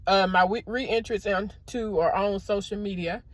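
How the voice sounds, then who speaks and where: A middle-aged woman with a loud, deep speaking voice, giving casual motivational talk to camera on a clip-on mic and calling her viewers y'all. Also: steady tone, moderate pace, dry recording. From American South. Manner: warm preacherly encouragement, addresses viewers as y'all. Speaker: motivational vlogger. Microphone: clip-on microphone.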